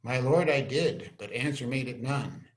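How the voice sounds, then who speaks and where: An earnest young scholar and loyal friend to a prince, read by an amateur male actor, speaking in a mid-range voice. He delivers Shakespearean verse in a measured, respectful way, as in a staged reading. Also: mid-range voice, lightly acted. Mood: earnest, awed. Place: indoors, on a video call. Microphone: video call.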